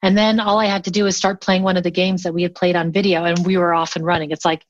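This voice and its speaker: A woman's voice: fairly low pitch, level tone, fast talker, lo-fi sound, slight background noise. A woman chatting casually as an interview guest, telling things in an enthusiastic, anecdotal way. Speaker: remote collaboration workshop teacher.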